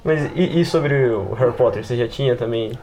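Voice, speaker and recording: A man's voice: medium pitch, animated, brisk, warm tone, slight background noise, low-quality recording. A man with a Brazilian accent chatting casually and informally as a podcast host, full of slang and fillers. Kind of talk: casual podcast chat about tabletop RPGs.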